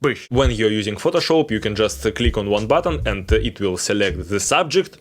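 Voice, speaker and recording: Russian-accented man ranting about editing software. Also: lowish voice, animated, normal speed. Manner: frustrated, frequent laughs and fillers. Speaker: video editing YouTuber. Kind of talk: opinionated tech vlog rant.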